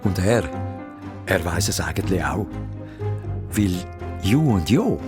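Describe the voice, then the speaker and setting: Narrator, kids' audio drama: Swiss man, lower register, lively scripted delivery. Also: slow pace, music in the background. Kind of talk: animated scripted story narration for children.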